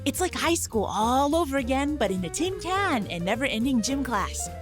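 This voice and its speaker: A woman's voice: high voice, big pitch swings, moderate pace, over music. A woman playing a headstrong, impatient young mecha pilot and inventor in an audio drama. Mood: resigned, sarcastic.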